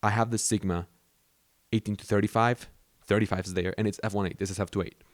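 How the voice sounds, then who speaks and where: A man's voice: on the low side, fairly even intonation, fast talker, dry recording, clean studio sound. A young man from Mexico whose first language is Spanish, rambling casually about his camera gear with many fillers and restarts. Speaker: vlogger.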